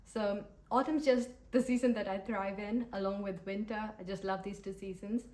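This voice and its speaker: A woman in her late twenties from Sri Lanka, talking brightly and directly to her viewers in a scripted get-to-know-me video. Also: mid-range voice, normal speed. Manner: upbeat, lively, addressing viewers directly. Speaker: vlogger. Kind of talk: scripted vlog to camera.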